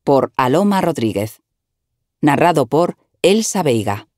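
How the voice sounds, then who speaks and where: A woman narrating a written article in an even, measured reading style, recorded close to the mic. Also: deep voice, no room sound.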